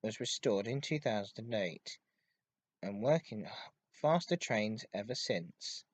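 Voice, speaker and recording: A man hosting a hobby podcast, giving an informative enthusiast monologue in a halting way with stressed intensifiers. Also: lowish voice, expressive. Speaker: podcast host. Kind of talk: informative enthusiast monologue about railway history.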